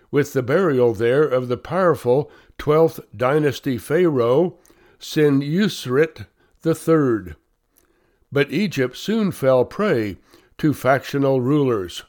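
A male volunteer reader with a cold, reading magazine articles aloud slowly and carefully. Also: medium pitch, darkish tone. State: has a cold.

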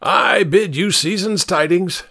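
Give this storybook figure, acted out by a man, the sound of an aged drunk with courtly, cartoonish manners. He swings widely in pitch and takes his time, halting and tripping over florid phrases. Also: big pitch swings, fairly bright tone, no room sound, clean studio sound, exaggerated voice. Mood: boisterous, indignant. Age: older. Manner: halting, stumbling over words, florid old-fashioned phrasing. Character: old drunken scrounger, loud, cartoonish, wistful, courtly.

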